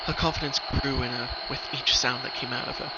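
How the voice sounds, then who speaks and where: A man's voice: mid-range voice, fairly even intonation, a little fast. A man narrating a scripted story in an earnest, theatrical way. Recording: dull lo-fi recording, background noise. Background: noise.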